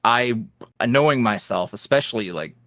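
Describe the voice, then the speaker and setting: A man guest on a podcast, an ASMR roleplay creator, talking candidly in a rambling, filler-heavy way that swings from lively bursts to low mutters. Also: moderate pace, dry recording, clean studio sound. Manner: swings between animated highs and low mutters. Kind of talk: casual interview chat.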